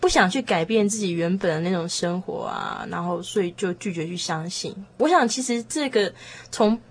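A woman's voice: fairly low pitch, animated, moderate pace, husky. A woman with a Taiwanese Mandarin accent sharing thoughts in a casual, tentative, reflective way as a panelist. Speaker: discussion panelist.

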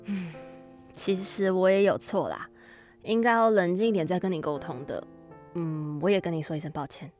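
A woman's voice: on the low side, normal speed, darkish tone, soft background music, close to an everyday voice. A woman with a Taiwanese Mandarin accent playing a frank, spirited young dorm mate in a podcast radio drama. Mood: softened, conciliatory.

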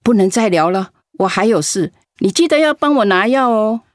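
A woman's voice: medium pitch, expressive, moderate pace, dry recording, clean studio sound, natural voice. A woman playing a chatty neighbour in a radio drama, gossipy and cajoling as she asks favours.

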